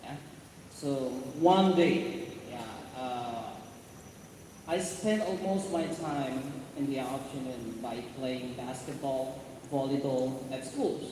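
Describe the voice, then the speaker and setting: A man with an Indonesian accent tells a personal story to a student audience, halting now and then and checking in with rhetorical questions. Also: medium pitch. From born in South Sulawesi, grew up in Malaysia. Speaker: guest speaker. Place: large echoey room at an event. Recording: very echoey hall, distant mic, loud noise.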